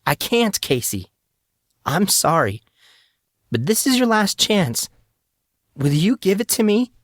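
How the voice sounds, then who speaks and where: A man's voice: high voice, very animated, slow pace, no echo, studio quality, pitched above the performer's own voice, exaggerated voice. A man voicing a fierce, desperate teenage girl martial artist, defiant and then pleading.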